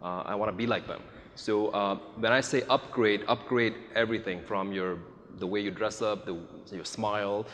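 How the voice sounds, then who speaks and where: A man in his forties from India, giving earnest, somewhat halting answers in an on-stage interview. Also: fairly low pitch, steady tone, fairly bright tone. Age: 40s. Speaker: real estate agent, guest speaker. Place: large event hall with audience. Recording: echoey hall with faint noise.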